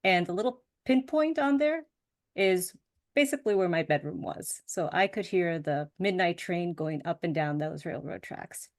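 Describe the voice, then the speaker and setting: A middle-aged woman, a Spanish speaker, giving a prepared talk in a measured storytelling way. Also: fairly low pitch. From United States. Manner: measured storytelling, some fillers.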